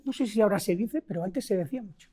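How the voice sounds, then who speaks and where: A woman's voice: mid-range voice, expressive, fast talker, dark tone. A middle-aged woman from Spain with a Spain Spanish accent, preaching a sermon, asking the congregation rhetorical questions and stressing key words.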